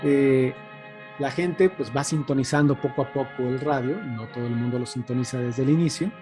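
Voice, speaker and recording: A man's voice: mid-range voice, normal speed, music in the background. A Mexican-accented man lecturing informally, reflective and hesitant, often checking in with tag questions. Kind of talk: informal academic talk.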